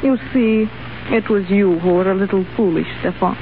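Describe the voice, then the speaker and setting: A woman's voice: mid-range voice, moderate pace, phone-quality sound, a little hiss or hum, close to an everyday voice. An actress playing an earnest young Russian revolutionary woman who speaks in a formal, earnest way, heard on a 1930s radio broadcast.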